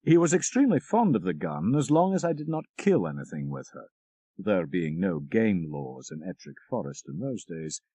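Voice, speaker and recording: A man narrating a classic supernatural tale in a measured, expressive storytelling manner, with dramatic emphasis. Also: on the low side, no echo. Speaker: audiobook narrator.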